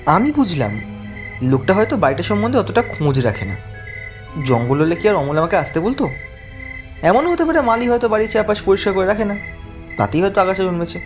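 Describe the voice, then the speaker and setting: A man's voice: high voice, very animated, fairly quick, pauses often, music in the background. A man narrating a first-person horror story from a script. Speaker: narrator of an animated ghost story.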